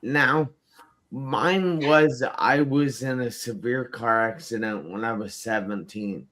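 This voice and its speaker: A man hosting a live online talk show, speaking slowly and emphatically and repeating words for effect. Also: medium pitch, fairly bright tone. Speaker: host. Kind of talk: live-stream show host.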